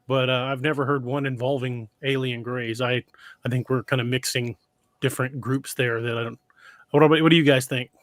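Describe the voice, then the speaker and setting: A man's voice: mid-range voice, steady tone, fairly quick, dry recording. Hesitant male podcast host, dry sound. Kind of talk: casual podcast talk, reading and answering listener questions.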